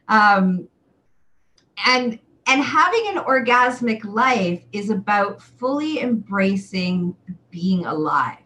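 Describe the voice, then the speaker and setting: A woman in her forties with a mid-pitched voice hosts a chatty livestream. She addresses viewers directly in an exuberant, theatrical manner. Key words get stretched out for emphasis. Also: fairly bright tone, low-quality recording. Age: 40s. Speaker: livestream show host and sexual wellness coach. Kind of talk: chatty live webcast talk to viewers.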